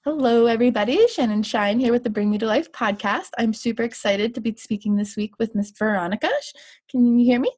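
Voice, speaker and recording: A woman's voice: fairly high pitch, a little fast, darkish tone. Upbeat woman hosting a podcast. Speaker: podcast host. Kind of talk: interview hosting.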